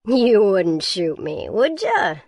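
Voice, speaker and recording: A woman's voice: mid-range voice, huge pitch swings, slow, a little mellow, no echo, clean studio sound, exaggerated voice. A woman reading a big, burly male bush pilot who talks in a coaxing, falsely friendly, folksy way.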